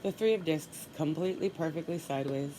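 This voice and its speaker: A woman with a stuffy nose giving a solo tarot reading, calmly and softly repeating her requests as she draws cards. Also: sits low, a little fast, background noise. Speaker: tarot reader.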